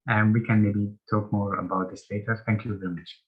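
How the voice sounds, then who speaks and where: A somewhat low-voiced man from Syria joins a panel over a video call. He is friendly and restarts his phrases often. The sound is dull and lo-fi. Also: steady tone, a little fast. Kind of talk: online panel introduction.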